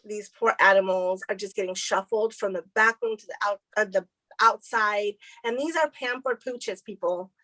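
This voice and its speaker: A woman from El Salvador co-hosting a casual crochet podcast, telling stories in a chatty, animated way and laughing often. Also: medium pitch, normal speed, no echo, rough recording. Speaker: podcast co-host. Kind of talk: casual chat. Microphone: video call recording.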